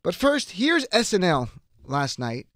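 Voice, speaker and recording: A male radio host talks through his political show in a chatty, self-deprecating way. His voice is hoarse from a cold but still sits fairly high. He is close on a dry studio mic. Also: huge pitch swings, unhurried, fairly bright tone, dry recording. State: hoarse, getting over a cold. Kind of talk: political talk radio monologue. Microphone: studio microphone.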